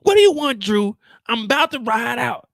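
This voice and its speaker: A man voicing an angry young woman bent on revenge, talking high and blunt. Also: very animated, normal speed, vocal fry, fairly bright tone, no echo, clean studio sound, full character voice. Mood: defiant.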